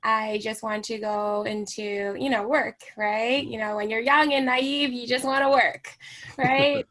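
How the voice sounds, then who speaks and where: A woman's voice: fairly high pitch, a bit bright. Chatty young woman from Hong Kong. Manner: chatty, many fillers and "right?" tags. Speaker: recreation therapist guest. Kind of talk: casual radio interview. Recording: radio studio interview.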